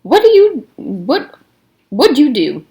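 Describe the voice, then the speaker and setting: A woman's voice: sits high, wildly swooping pitch, slow, mellow, pitched above the performer's own voice, heavily acted. Teenage camp boy, voiced by a woman: cocky, tough, blunt and challenging, storybook dialogue.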